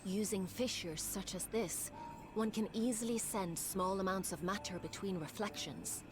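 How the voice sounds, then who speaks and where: A woman's voice: mid-range voice, moderate pace, close to an everyday voice. An actress playing a poised, teasing and ominous otherworldly woman in a video game, with formal, archaic phrasing. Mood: composed, formal. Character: poised, teasing, ominous woman who travels between worlds. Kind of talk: video game cutscene acting. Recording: orchestral game music behind voice. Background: music.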